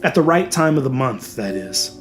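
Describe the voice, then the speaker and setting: A man's voice: medium pitch, sing-song, normal speed. A man reading a scripted horror story as a dramatic narrator. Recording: music behind the voice. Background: music.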